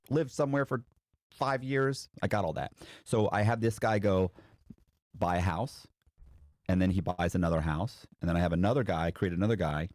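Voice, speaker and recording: A man's voice: low voice, a little mellow, dry recording, clean studio sound. A man speaking as an interview guest, telling a story casually and slangily, acting out what people said. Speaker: interview guest recounting past fraud.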